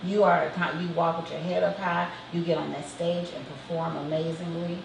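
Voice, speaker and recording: A woman's voice: lowish voice, steady tone, fairly quick, gravelly. A woman hosting a casual talk show, speaking in an encouraging, affirming way. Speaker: host. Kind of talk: casual chat. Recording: echoey room heard from a distance, with background noise.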